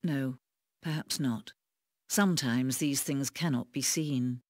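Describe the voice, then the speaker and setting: An actress playing an unseen, all-knowing game narrator, solemn and pitying, speaking in measured, deliberate phrases with weighty pauses. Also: female voice, rumbling low, a little mellow, dry recording, studio quality, heavily acted. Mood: wistful, knowing. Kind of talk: scripted dramatic game narration.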